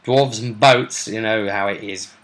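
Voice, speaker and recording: A British man hosting a casual, rambling recap of his tabletop role-play sessions in a chatty, animated manner. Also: fairly low pitch, a little slow. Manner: animated, chatty, acts out brief quotes. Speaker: host and game master recapping sessions.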